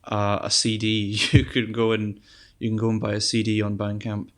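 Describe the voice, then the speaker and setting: A young man with a soft, gentle voice, talking as a guest in a casual interview. He speaks low and with little rise and fall in pitch. He pauses often and fills the gaps with ums and restarts. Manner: relaxed, hesitant, many ums and restarts. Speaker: guest musician.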